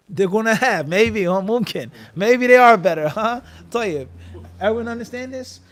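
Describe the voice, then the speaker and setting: A man's voice: high-pitched, a little slow. A man teaching an Islamic class in a conversational, prompting way, asking his listeners questions and mixing Arabic terms into his English. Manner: animated question-and-answer, prompting listeners to finish his sentences. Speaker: Islamic teacher leading a live-streamed class. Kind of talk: religious lecture to a class, conversational. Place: mosque class.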